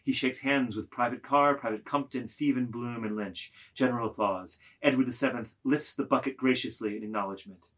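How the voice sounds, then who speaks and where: Husky male narrator of a play. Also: mid-range voice, brisk, hardly any pauses, fairly bright tone. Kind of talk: scripted dramatic narration of stage directions.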